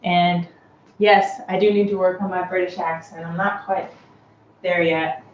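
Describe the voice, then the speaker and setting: Woman in an echoey room, unhurried baking tutorial. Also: fairly low pitch, steady tone, highs cut off. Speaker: host of a home baking tutorial. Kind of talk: casual unscripted how-to demonstration. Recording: roomy, distant mic with clear background noise.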